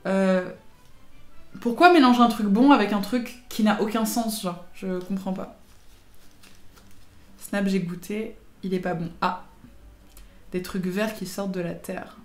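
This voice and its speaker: A woman's voice: mid-range voice, measured pace, long pauses, faint music behind. Chatty young woman streaming in a roomy space. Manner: informal slangy speech, teasing and chatty. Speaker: live streamer chatting with viewers. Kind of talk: casual livestream chat.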